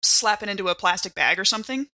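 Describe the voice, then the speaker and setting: A woman's voice: mid-range voice, lively intonation, fast, sharp tone, no room sound. A woman playing a tabletop role-playing game, chatty and casual, improvising her moves and thinking aloud.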